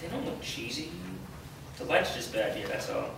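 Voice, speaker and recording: A man's voice: medium pitch, animated, normal speed. A man playing an eager, awkward teenage boy with a crush in a staged reading, recorded in an echoey room. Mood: reassuring. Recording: echoey room, distant mic, clear noise.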